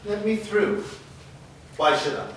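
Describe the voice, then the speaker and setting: A man's voice: sits high, takes it slowly, fairly bright tone. A man playing an adult live-in boyfriend with a drinking habit in a staged drama reading. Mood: cold, taunting. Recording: echoey room, distant mic, clear noise.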